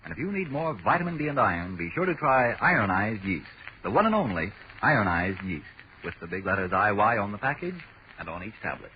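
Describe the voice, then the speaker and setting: Vintage American male announcer, lowish and lively, emphatic sponsor pitch, muffled old broadcast with faint noise. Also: a little fast. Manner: emphatic, salesmanlike. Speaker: radio announcer. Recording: dull, noisy 1940s radio recording.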